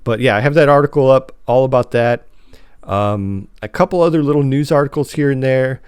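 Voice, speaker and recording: Male podcast host, mid-pitched, informal and chatty, dry studio-close sound. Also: fairly quick, darkish tone, clean tone, no room sound. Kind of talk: casual podcast chat.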